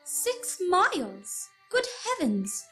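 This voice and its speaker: Casting: actress as genteel 1910s countrywoman, high voice, polite exclamations, nostalgic radio drama, music underneath. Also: wildly swooping pitch, takes it slowly, bright, forceful tone, exaggerated voice. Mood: astonished. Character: Margaret, polite, wide-eyed country woman of 1913. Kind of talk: nostalgic radio play acting.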